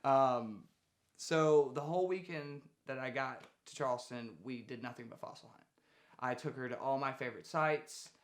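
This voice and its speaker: A man's voice: mid-range voice, moderate pace. A man who hunts fossils, talking casually in a documentary interview. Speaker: fossil hunter.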